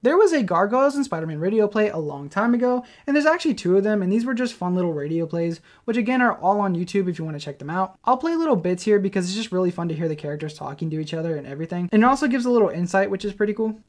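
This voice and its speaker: Man, quick pace, informal fan commentary, video host. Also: sits high, lively intonation.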